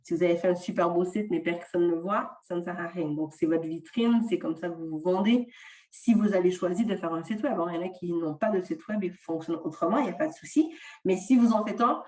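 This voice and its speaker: A woman's voice: on the low side, fast, a little mellow, recorded from a distance. A woman with a slight non-French francophone accent presents a workshop, explaining each point with frequent hesitations. Her voice sounds set back from the microphone in an echoing room.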